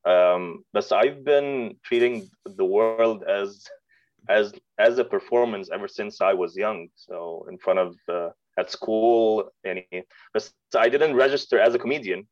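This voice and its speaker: A man in his late twenties, a stand-up comedian, talking in a podcast interview, often hesitating and laughing as he speaks. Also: on the low side. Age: 20s. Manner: hesitant, many fillers and restarts, laughs a lot. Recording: dull lo-fi sound.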